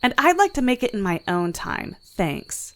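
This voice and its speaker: A woman's voice: mid-range voice, sing-song, normal speed, a bit bright. A woman playing a prickly, sardonic talking head in an audio drama, assertive about being her own individual. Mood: annoyed. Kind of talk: scripted audio drama acting.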